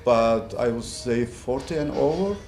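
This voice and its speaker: Man, unhurried. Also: mid-range voice, expressive. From Cyprus. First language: Turkish. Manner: measured, hesitant, many fillers. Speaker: property developer. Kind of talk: relaxed interview answers. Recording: roomy echo with background music.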